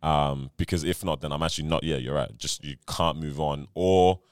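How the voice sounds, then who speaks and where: A man's voice: very deep voice, normal speed, clear, resonant tone, no room sound, studio quality. A man co-hosting a podcast, talking casually in slangy, conversational phrases and circling back over his thoughts. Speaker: podcast co-host. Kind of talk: casual chat.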